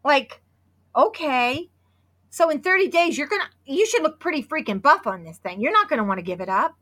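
A woman in her fifties co-hosting a podcast, chatty and excitable, hoarse from losing her voice. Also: sits high, a little fast. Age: 50s. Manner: chatty and excitable, with many restarts. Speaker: podcast co-host. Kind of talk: casual podcast chat with sponsor read.

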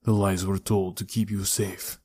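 A man playing a grave, weary, aging uncle in an audiobook, protective and regretful, with a subdued, measured delivery. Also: low voice, little pitch movement, warm tone, breathy edge, no echo. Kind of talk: audiobook character dialogue.

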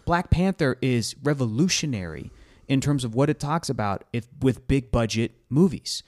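Male podcast host, 30s, mid-pitched, animated, argumentative, keeps steering the chat. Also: normal speed. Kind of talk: casual chat.

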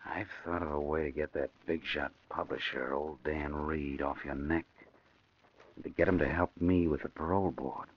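Very deep, husky scheming convict. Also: male voice, steady tone, normal speed. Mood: scheming, insistent. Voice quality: husky, rough low voice. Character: scheming imprisoned male gangster, hard and insistent. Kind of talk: 1940s radio crime drama acting. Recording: muffled old radio broadcast recording.

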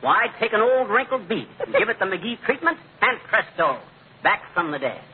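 A blustery, boastful American husband who wisecracks his way through old radio comedy. His voice sits high and jumps around in pitch. It comes through a tinny, noisy vintage recording. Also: sing-song, normal speed. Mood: showy bragging. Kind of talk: 1940s radio comedy acting.

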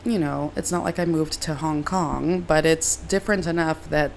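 A young woman hosting her own podcast, hoarse and raspy from a cold, chatting in a rambling, self-deprecating way. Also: low-pitched, normal speed, a little hiss or hum. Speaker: podcast host. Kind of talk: casual solo podcast chat. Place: moved from Reno to LA, recording at home.

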